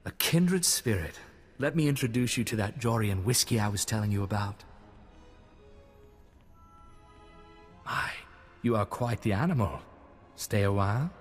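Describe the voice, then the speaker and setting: A man's voice: fairly low pitch, expressive, normal speed, soft background music, lightly acted. A man voicing a smug, flirtatious rogue of a nobleman in a video game, theatrical and teasing. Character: roguish, lecherous male noble, smug and flippant. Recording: long hall reverb.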